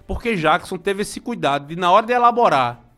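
A high-voiced man in his forties from Brazil talks in a loose, rambling way, repeating words and stopping to greet friends. His talk is nostalgic and chatty. Soft music plays faintly behind him. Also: lively intonation, a little fast. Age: 40s. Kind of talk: casual local commentary.

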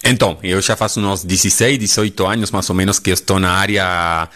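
A middle-aged Argentine man with a Spanish accent, a holistic therapist guest speaking deliberately in a radio studio interview. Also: low-pitched. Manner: deliberate, lively intonation with frequent "né?".

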